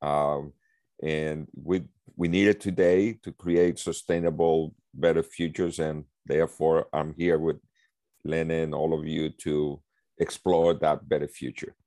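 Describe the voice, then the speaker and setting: Very deep-voiced Colombian-born man. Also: level tone, a little slow, warm tone, dry recording, studio quality. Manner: earnest, with many uh and um fillers. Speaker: guest, social psychologist. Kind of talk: self-introduction in a talk.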